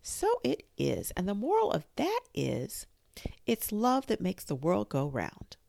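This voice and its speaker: A woman's voice: medium pitch, huge pitch swings, a little slow, gravelly, mellow, no room sound, studio quality, pitched above the performer's own voice, exaggerated voice. A woman voicing a fussy, moralizing old Duchess in a storybook reading, with a preachy, sing-song manner.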